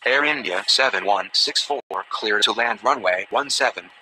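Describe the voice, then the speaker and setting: A man's voice: on the low side, moderate pace, pushed and bright, rough recording. A man giving formal, clipped air traffic radio callouts in a scripted style. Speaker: air traffic radio voices in a simulation game.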